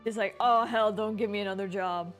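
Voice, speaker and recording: A woman's voice: mid-range voice, fairly even intonation, a little fast. A woman game streamer giving informal live commentary, often mimicking what the characters are thinking. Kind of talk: casual live gameplay commentary. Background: game music.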